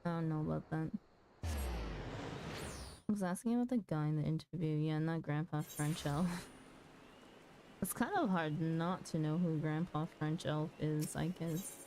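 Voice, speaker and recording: A woman chatting casually as she streams a game, halting and muttering asides to herself, sometimes reading dialogue aloud. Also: sits low, warm tone, slight background noise. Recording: music behind the voice. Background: background music.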